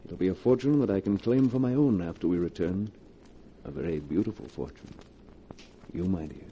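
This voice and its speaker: An actor playing a scheming male lover in a 1940s radio drama, measured and insinuating as he plots. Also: low voice, natural voice. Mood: calmly scheming. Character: smooth, scheming male lover coolly planning murder. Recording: dull, hissy 1940s radio recording.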